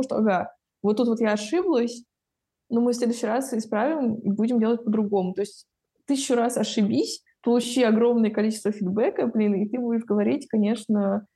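A woman's voice: mid-range voice, dark tone. A woman in her twenties explaining her views thoughtfully in a casual podcast conversation.

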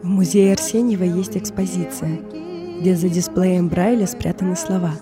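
Woman narrating reflectively over clear music. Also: fairly low pitch, steady tone, moderate pace. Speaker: narrator of an audio performance. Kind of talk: scripted personal storytelling narration. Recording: music bed under the voice.

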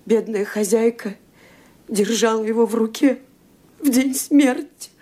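A housemaid in a radio drama, her voice fairly high. She is deferential and earnest. She answers carefully, like a respectful servant. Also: unhurried, dark tone. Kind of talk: radio play acting.

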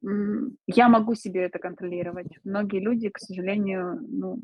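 A woman talks loosely with frequent hesitations and quick laughs. Her voice sits mid-range, close to the mic with no room sound. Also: mid-range voice, steady tone, no room sound, highs cut off. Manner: chatty, laughs often, many fillers. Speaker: guest streamer. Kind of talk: casual interview chat.

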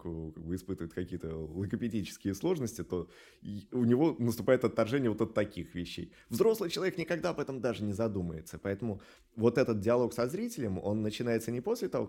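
A man answering as an animation studio director in an interview, explaining things in a halting, thoughtful way with drawn-out hesitations. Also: on the low side, mellow, husky.

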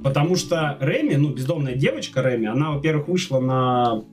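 A man's voice: medium pitch, expressive, a little fast, darkish tone, distant mic, soft background music. A man hosting a casual podcast, retelling a plot loosely with lots of fillers. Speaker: podcast host.

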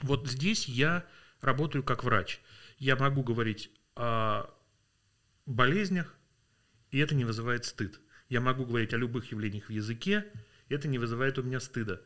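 A man from Russia talks as a podcast guest, reflective and unhurried in thought, often pausing on filler sounds. He sounds worn out at the end of a working day. His voice sits at an ordinary middle pitch. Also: fairly bright tone. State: tired. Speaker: linguist guest. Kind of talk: podcast interview.

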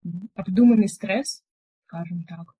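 A young woman co-hosting a podcast, telling stories in a chatty, relaxed way with lots of filler words. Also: medium pitch, measured pace, breathy edge. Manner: chatty storyteller, many fillers. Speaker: podcast co-host. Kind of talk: casual chat. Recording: dull, muffled recording.

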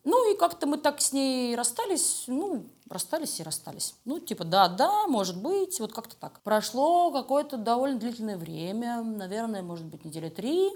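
A woman's voice: a little high, big pitch swings, moderate pace, hardly any pauses, a little mellow. A woman being interviewed, talking casually and telling stories in informal, slangy speech. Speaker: animation studio creative director being interviewed. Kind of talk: casual conversational storytelling interview.

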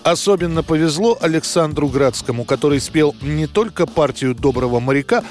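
A man narrating a scripted history programme in a measured, storytelling way. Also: on the high side, lively intonation, over music.

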